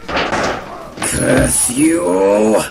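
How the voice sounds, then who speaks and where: A man playing a menacing, arrogant older martial arts master, speaking with pompous, theatrical slowness and a gravelly edge in a fan-made voice comic. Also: mid-range voice, expressive, faint music behind, full character voice, poor audio. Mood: taunting, furious. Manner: slow, pompous, theatrical threats.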